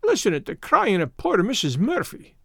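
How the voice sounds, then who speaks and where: A sentimental, quarrelsome Irish immigrant wife from a storybook, acted out by a male reader. The voice is high and swings widely, with a lilting, plaintive brogue. The sound is close and dry. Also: huge pitch swings, moderate pace, gravelly, bright, forceful tone, husky, no room sound, heavily acted. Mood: tender.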